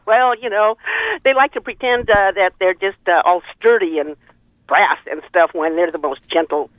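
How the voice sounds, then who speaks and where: A woman's voice: on the low side, moderate pace, no room sound. A woman calling a radio show by telephone, chatting in a rambling, earthy, opinionated way. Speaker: caller to a radio talk show. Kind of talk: casual opinionated chat. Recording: tinny, band-limited phone line.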